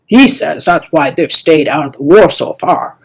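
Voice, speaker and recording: A woman voicing a mild, peaceable young male dragon who pleads earnestly and gently in a storybook reading. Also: sits low, lively intonation, measured pace, slightly rough, full character voice.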